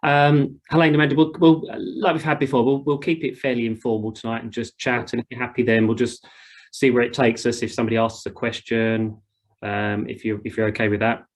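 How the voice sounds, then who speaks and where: A man's voice: mid-range voice, level tone, a little fast. A man with a British accent hosting an informal online group session in a colloquial, chatty way. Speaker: host of an online ground school session.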